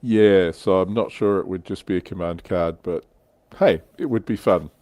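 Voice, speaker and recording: A man's voice: deep voice, normal speed, darkish tone, no room sound. A man hosting a livestream, chatting casually and reading out viewer comments. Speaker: livestream host.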